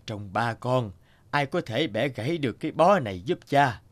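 Man acting a weary, gentle elderly father. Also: mid-range voice, expressive, moderate pace, natural voice. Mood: earnest, instructive. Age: older. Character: elderly widowed father, weary, gentle, worried about quarrelling sons. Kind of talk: animated folk tale dubbing.

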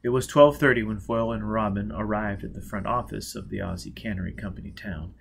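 A man in his thirties reading a novel aloud as an expressive narrator. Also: lowish voice, fairly quick, vocal fry, a little hiss or hum. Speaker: audiobook reader. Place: small indoor space with a dark curtain backdrop.

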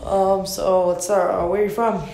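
A man's voice: sits high, level tone, normal speed, gravelly, noisy background. A young man playing a rambling land seller, echoey room. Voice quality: hesitant, full of ums and uhs. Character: easygoing adult male landowner selling property. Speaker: actor in a school play. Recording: echoey room with music behind.

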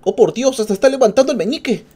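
A man's voice: very high-pitched, fast, warm tone. A man giving casual reaction commentary, rambling off into joking asides. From Peru. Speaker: streamer reacting to a video.

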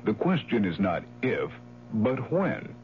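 Man, public service announcer, lively intonation, emphatic, scripted, dull old radio sound, faint hum. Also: lowish voice, slow.

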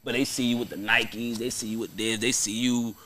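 A young American man with a very bright, forceful voice at a middle pitch. He talks casually into a close studio mic, full of slang and swearing. He debates like a podcast host who holds his ground. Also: flat delivery, fairly quick, low-quality recording. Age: young adult. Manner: slangy, profane, argumentative. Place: small podcast studio, indoors. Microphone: close boom-arm microphone.

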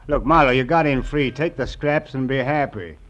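An actor playing a cagey, taunting American money-grubber in an old radio crime drama. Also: male voice, mid-range voice, normal speed, a bit bright, lightly acted. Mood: smug, cagey. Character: sleazy, evasive money-grubbing male operator. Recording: muffled old radio recording.

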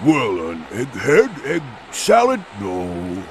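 An actor playing a burly male albatross, the loud, bumbling muscle of a gang. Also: mid-range voice, huge pitch swings, takes it slowly, slight background noise, exaggerated voice. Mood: blustering.

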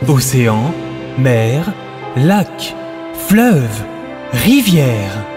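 A man playing a bombastic announcer, theatrical and deliberate, delivering a dramatic script. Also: medium pitch, very animated. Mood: grandiose. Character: bombastic male narrator and trailer announcer. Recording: long reverb under loud music.

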